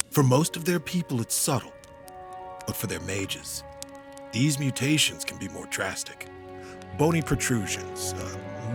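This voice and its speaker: Casting: earnest war-weary hero commander, gravelly, widely expressive delivery, fantasy audio drama. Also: male voice, mid-range voice, full character voice. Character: seasoned male hero knight commander, earnest and burdened. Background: dramatic background music.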